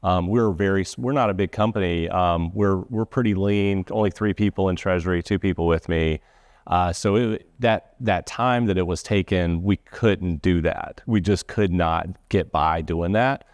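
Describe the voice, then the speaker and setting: Low-voiced man, informal and halting. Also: a little mellow, no room sound, clean studio sound. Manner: halting, rephrases as he goes. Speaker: corporate treasury professional, guest speaker. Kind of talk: informal first-hand account.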